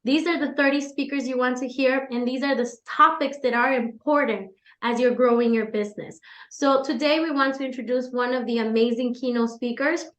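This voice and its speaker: A woman's voice: high voice, fairly even intonation, a little fast, few pauses, roomy sound. A woman organizer hosting, enthusiastically promoting an event. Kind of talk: promotional introduction.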